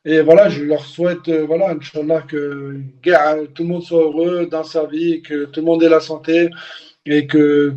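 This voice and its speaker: A man's voice: fairly high pitch, warm tone, highs cut off. A man born and raised in France, a former footballer speaking candidly as an interview guest, often repeating words as he searches for them. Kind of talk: casual interview.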